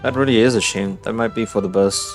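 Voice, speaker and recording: A man playing a story game and making quick, laid-back remarks over the cutscenes. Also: lowish voice, fairly even intonation. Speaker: gaming streamer. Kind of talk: casual let's play commentary. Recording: loud game music over voice.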